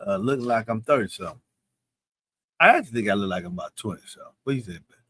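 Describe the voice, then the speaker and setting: A man's voice: fairly low pitch, expressive, fast talker, pushed and bright, breathy edge. A man in his fifties hosting a live Q&A in a loose, slangy, confident way. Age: 50s. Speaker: live stream host answering questions. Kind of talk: casual live Q&A chat.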